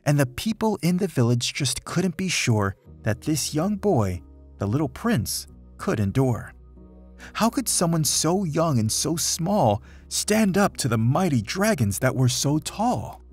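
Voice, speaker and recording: A man reads a children's story in rhyming verse with a slightly husky, breathy edge to his voice. His pitch moves in a lively, dramatic way as he tells the tale. Faint music plays behind him. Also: a little slow. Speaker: storybook reader. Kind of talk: children's picture book read-aloud, rhyming verse.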